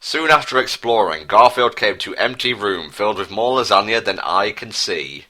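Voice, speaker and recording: Male narrator, lowish and punchy, mock-serious read of comic melodrama. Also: lively intonation, normal speed, sharp tone. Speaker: narrator and co-host. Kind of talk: comedic dramatic story narration.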